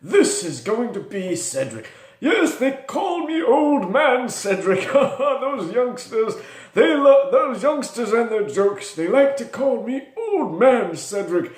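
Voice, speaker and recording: A wise and hardy old cleric, played by a man, speaks in a very high voice. His delivery is slow and swinging in pitch, self-assured like a seasoned teacher of tabletop adventurers. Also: very animated, heavily acted. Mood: wise, musing, then feisty, proud. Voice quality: high register. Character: wise, strong, well-traveled old male cleric. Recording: echoey room.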